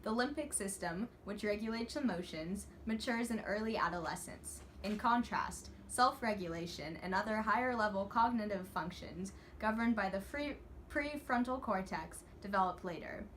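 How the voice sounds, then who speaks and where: A young woman student reading a class presentation from a script, steady and earnest. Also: mid-range voice, normal speed, a little hiss or hum. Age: young adult.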